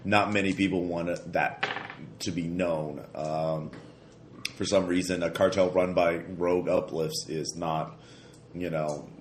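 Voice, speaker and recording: A deep-voiced man with a stuffy head cold runs a tabletop game, rambling through fillers and restarts. His voice sounds slightly hoarse. The recording is roomy, with a faint hiss underneath. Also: fairly even intonation, unhurried. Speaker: game master. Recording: roomy echo, faint noise.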